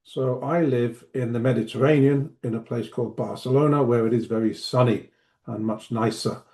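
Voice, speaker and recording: Male teacher trainer, 50s, slight cough, chatty and self-deprecating, mellow tone. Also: medium pitch, steady tone, moderate pace. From Manchester, north of England. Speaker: teacher trainer and webinar presenter. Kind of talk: online webinar presentation. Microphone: video call.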